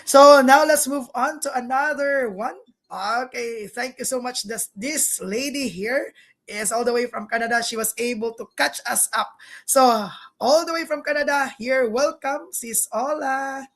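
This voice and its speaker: A woman's voice: high voice, measured pace, fairly bright tone. A woman hosting an online business discussion, talking in an affirming, conversational way as she introduces speakers and comments. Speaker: host.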